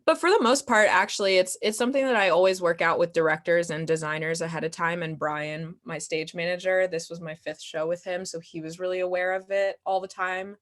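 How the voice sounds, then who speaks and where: A woman's voice: on the low side, brisk, hardly any pauses, fairly bright tone. A young woman answering questions as a guest performer in a casual Q&A, candid and self-deprecating, often laughing through her words. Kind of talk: casual audience Q&A.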